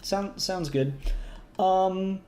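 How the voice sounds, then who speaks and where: A man's voice: sits high, extremely slow, warm tone. A man hosting a podcast in casual chat, easygoing and informal, with a slightly hesitant delivery. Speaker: podcast host. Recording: echoey room recording.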